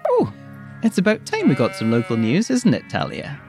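A woman's voice: sits low, huge pitch swings, moderate pace, loud music behind the voice, exaggerated voice. A woman playing a grumbling, folksy male shepherd in an audio drama, chatty and wry. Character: grumbling male shepherd prophet fond of his animals.

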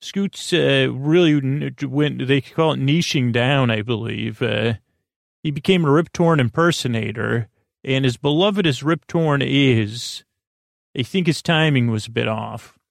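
A man hosting a sleep podcast with a creaky voice, meandering haltingly and often interrupting and repeating himself in a bedtime intro. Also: medium pitch, dry recording, studio quality. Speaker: podcast host.

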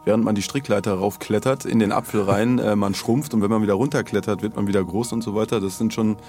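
A man's voice: on the low side, fairly even intonation, quick pace, darkish tone, faint music behind. A man co-hosting a podcast, chatting in a loose, colloquial way. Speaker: podcast co-host. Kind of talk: casual podcast chat.

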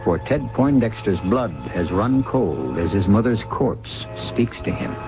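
A man's voice: fairly low pitch, lively intonation, measured pace. An American man narrating a 1970s radio mystery in an ominous, theatrical manner. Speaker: narrator and host. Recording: dull old radio broadcast. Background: dramatic music.

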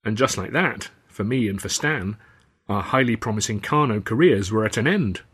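A man's voice: on the low side, animated, normal speed, fairly bright tone, slightly rough. Man reading a novel aloud. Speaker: author reading own novel. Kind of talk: scripted audiobook narration.

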